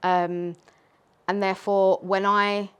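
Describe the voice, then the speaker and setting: A woman's voice: medium pitch, level tone, slow pace, clear, resonant tone. A woman poet whose first language is Urdu, talking earnestly and reflectively in a group discussion. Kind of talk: casual discussion. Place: community space.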